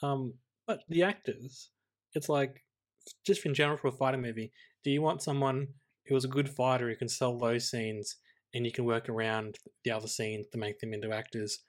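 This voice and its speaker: A man with a mid-range voice talks as a podcast co-host reviewing films. He is conversational and a bit halting, often rewording a point as he thinks it through. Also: medium pitch, fairly even intonation. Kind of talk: casual film review chat.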